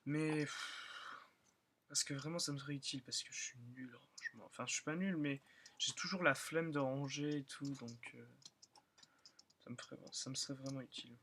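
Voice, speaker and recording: Slightly hoarse young man over keyboard clicks. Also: mid-range voice, moderate pace, long pauses, slight background noise. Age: young, still in school. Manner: rambling, thinking aloud with many fillers. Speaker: gaming channel host. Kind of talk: casual gameplay commentary. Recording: loud keyboard and mouse clicking.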